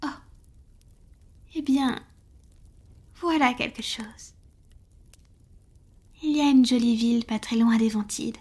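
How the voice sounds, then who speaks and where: A woman plays a doting female vampire in an intimate roleplay audio. Her voice is fairly high and very breathy, mostly whispered. She speaks slowly and hesitantly, full of affection, as if to a lover close by. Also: darkish tone. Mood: soft, thoughtful. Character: tender, lovestruck female vampire, devoted and doting.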